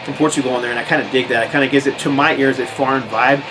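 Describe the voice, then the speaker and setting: A man's voice: mid-range voice, steady tone, racing, music in the background, poor audio. An American man casually showing records from his collection, rambling with lots of fillers and restarts. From United States. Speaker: metal record collector vlogger. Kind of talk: casual record show-and-tell.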